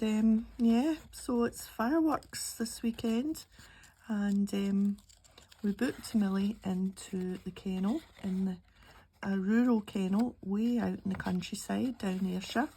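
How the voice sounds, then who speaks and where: A middle-aged Scottish woman, slightly croaky with a cold, chatting and thinking aloud as she narrates a casual craft tutorial. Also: mid-range voice, slow, warm tone, poor audio. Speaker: craft channel host. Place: indoor craft table. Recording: clearly audible background noise, handling clicks.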